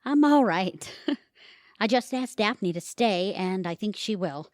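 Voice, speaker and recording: A woman playing a middle-aged mother and wife in an audio drama, earnest and wry, with deliberate, theatrical delivery. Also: mid-range voice, expressive, dry recording, clean studio sound.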